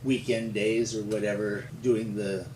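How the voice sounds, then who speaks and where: An older man from rural Montana with an American accent, telling his story in an interview in a halting, folksy, explanatory way. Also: lowish voice, little pitch movement. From rural Montana, United States. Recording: roomy, distant mic with faint hum.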